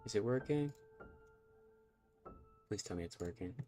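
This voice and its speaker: A man's voice: lowish voice, expressive, unhurried, mellow, faint music behind. A man with a nasal, stuffy voice, rambling and self-conscious as he chats through a live game stream. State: stuffy nose from allergies.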